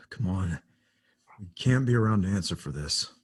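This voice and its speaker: A man plays a cautious action hero with a deep, very raspy voice. He speaks slowly and tersely, giving low-key orders in a drama table read. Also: expressive, full character voice. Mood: terse, wary. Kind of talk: script table-read acting.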